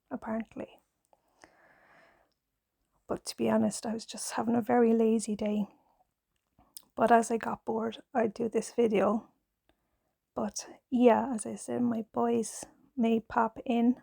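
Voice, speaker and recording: Husky irish woman whispering softly, slow and nervous, lots of vocal fry, dry close clip-on mic. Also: a little high, flat delivery, no room sound. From Ireland. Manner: gentle, hesitant, nervous, with many fillers. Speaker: knitting ASMR creator, mother. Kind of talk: soft-spoken ASMR chat. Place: home, in pajamas. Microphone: clip-on mic on pajamas.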